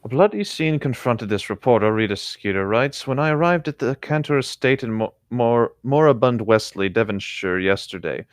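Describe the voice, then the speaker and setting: Man, animated pitch, dry close recording, casual story narration, theatrical touches, occasional stumbles. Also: moderate pace, hardly any pauses, no room sound. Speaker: co-reader on a fan fiction riffing show. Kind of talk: casual storybook-style narration with joking asides.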